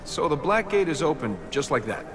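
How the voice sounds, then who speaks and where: An actor playing a cocky, flippant young adventurer in a video game, wry and teasing. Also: male voice, a little high, very animated, a little fast. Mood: casual, questioning. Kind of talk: video game cutscene acting. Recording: voice under loud music.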